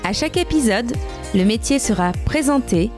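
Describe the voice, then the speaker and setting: A woman hosting a career podcast, who reads a scripted intro and then asks the guest questions in a clear, measured way. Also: on the high side, expressive, music in the background. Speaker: podcast host and interviewer.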